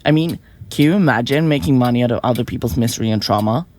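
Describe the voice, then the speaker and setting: A woman playing a flippant, self-important young murderer in an audio drama, glib and quick to get defensive. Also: a bass voice, a little mellow. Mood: blithe pride. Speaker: murderous podcaster in a therapy session. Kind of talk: audio drama acting, conversational.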